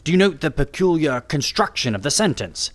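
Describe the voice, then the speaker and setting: A man's voice: on the high side, big pitch swings, brisk. A man playing a brilliant, self-assured Victorian detective in an audiobook, lecturing in a precise, theatrical way. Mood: keen, triumphant. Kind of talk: audiobook character acting.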